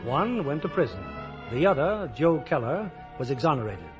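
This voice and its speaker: A man's voice: fairly high pitch, very animated, normal speed, muffled recording. An American man presenting and narrating a scripted 1950s radio show in a formal, declamatory manner. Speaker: radio show host and producer. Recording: old radio broadcast with music.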